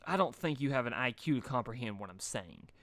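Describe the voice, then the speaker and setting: A man's voice: mid-range voice, expressive, a little fast, a bit bright, no echo. A hostile female griffin, acted by a man in a story reading, full of sarcasm and disdain. The delivery snarls and bullies in a mid-range voice, recorded dry. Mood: scornful, threatening.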